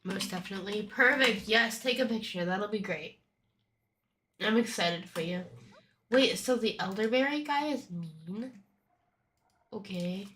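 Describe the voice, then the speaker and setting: A young woman streaming a game with casual, chatty commentary, her nose stuffy from a cold and her talk breaking into bubbly bursts of excitement. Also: mid-range voice, measured pace, long pauses, a little hiss or hum.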